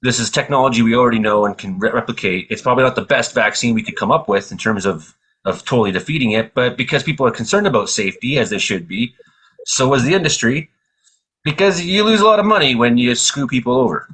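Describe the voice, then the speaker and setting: Husky-voiced man hosting talk radio. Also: medium pitch, lively intonation, fast talker, fairly bright tone, poor audio. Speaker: radio show host. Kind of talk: casual opinionated radio discussion.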